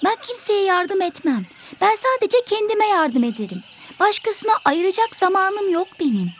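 A woman's voice: very high-pitched, very animated, moderate pace, a bit bright, a little hiss or hum, exaggerated voice. A woman voicing a selfish, grumpy bird in an educational cartoon, speaking in a haughty, brusque, scolding manner. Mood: smug, defensive.

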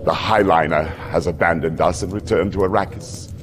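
A man's voice: low-pitched, fairly even intonation, moderate pace, background noise, lightly acted. An actor playing a middle-aged, loyal military aide in a game, dramatic and deferential toward his lord. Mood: grave, alarmed. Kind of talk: video game cutscene acting.

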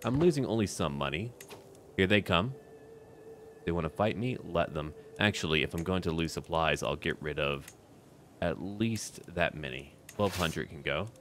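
A man's voice: low-pitched, animated, normal speed, fairly bright tone, slight background noise. A man giving casual commentary on his strategy game playthrough, talking to himself and to the characters in short clipped remarks. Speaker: gaming video creator narrating his own playthrough.

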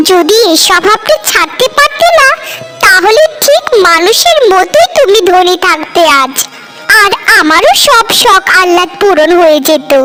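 A woman's voice: very high voice, poor audio. A woman playing a discontented, envious village housewife in a cartoon, scolding and complaining with sarcasm. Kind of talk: cartoon voice acting in dramatic dialogue. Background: loud background music.

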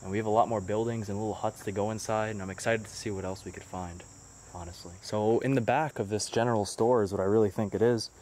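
Young guy vlogging thru old ruins, lowish voice, faint bugs buzzing behind, camera at arm's length. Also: steady tone, fast, a little hiss or hum. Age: young adult. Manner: hushed, curious, narrating finds as he walks. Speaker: urban explorer vlogger. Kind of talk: walking exploration commentary. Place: outdoors and inside ruined buildings. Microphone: handheld camera held at arm's length.

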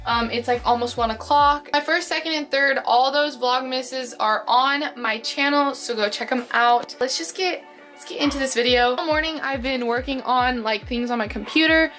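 A woman's voice: high voice, moderate pace, fairly bright tone, faint music behind. A young woman with a youthful voice chatting casually and in slang into a handheld camera for a vlog. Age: young adult. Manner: informal, slangy. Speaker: vlogger. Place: bedroom, later a school classroom. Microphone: handheld camera at arm's length.

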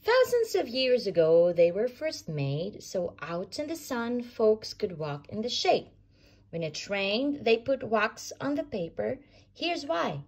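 A woman with a fairly high voice reads a children's picture book aloud. Her pitch swings widely as she shapes each rhyming phrase in an expressive sing-song. She goes very slowly and deliberately, so young listeners can follow. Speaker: storyteller reading aloud.

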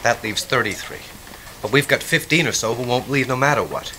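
A man's voice: mid-range voice, lively intonation, fairly quick, noisy background, lightly acted. A man acting in an audio drama as a practical, calculating survivor, methodical, matter-of-fact and wry. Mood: calculating, urgent. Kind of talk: naturalistic radio drama acting.

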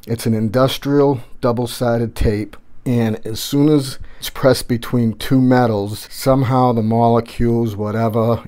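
A man's voice: mid-range voice, warm tone. A middle-aged man hosting a tech vlog, talking casually and loosely through a home-built setup in his gaming room while filming with a handheld phone. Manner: casual, rambling, slangy. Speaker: tech and gaming channel host. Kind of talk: casual build walkthrough vlog. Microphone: handheld phone camera.

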